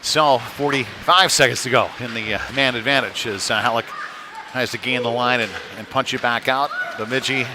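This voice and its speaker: A man's voice: medium pitch, normal speed. A man doing live play-by-play of a youth hockey game in a loose, folksy running style. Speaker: play-by-play commentator. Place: indoor ice rink. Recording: noisy live rink stream, background din.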